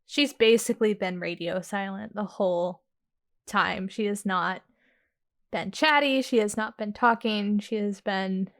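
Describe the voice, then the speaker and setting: A woman's voice: medium pitch, measured pace, a bit bright. Female player, casual and chatty, mid-range voice. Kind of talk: casual chat while playing a tabletop game.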